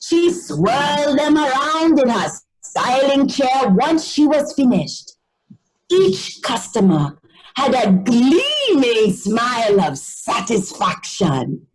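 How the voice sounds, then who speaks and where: Slow, animated woman storyteller, higher voice. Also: rough recording. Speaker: storyteller reading a children's book. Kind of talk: expressive scripted storytelling narration.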